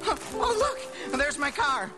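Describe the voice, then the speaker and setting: High, excitable, frantic family man. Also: takes it slowly, full character voice. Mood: giddy elation. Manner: breathless, stammering, repeating himself excitedly. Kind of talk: live radio drama acting. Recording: live stage radio play, music behind.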